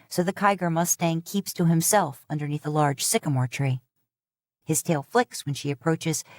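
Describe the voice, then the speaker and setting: A woman reading a fanfiction audiobook in a steady, measured storytelling manner. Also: low-pitched, dry recording, clean studio sound. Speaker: audiobook narrator.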